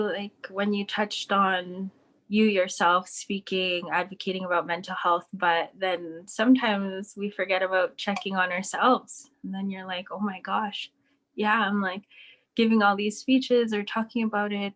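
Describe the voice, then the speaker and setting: Casual woman hosting an interview, mid-pitched voice. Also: fairly bright tone. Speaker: podcast host.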